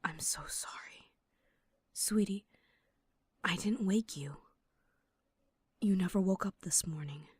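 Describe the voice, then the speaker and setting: A woman voices an aged, sorrowful mare in a fantasy audio drama. The delivery is slow and solemn, with a gentle, extremely breathy, whispered huskiness. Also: fairly low pitch, heavily acted. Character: ancient, sorrowful, gentle winged unicorn mare. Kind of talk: dramatic audio story acting.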